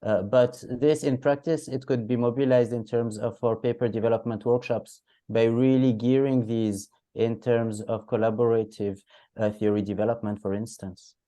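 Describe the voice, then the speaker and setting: A man's voice: mid-range voice, steady tone, normal speed, mellow. A man making an academic point in a workshop, hesitating and searching for words. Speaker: workshop participant. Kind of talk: academic discussion comment.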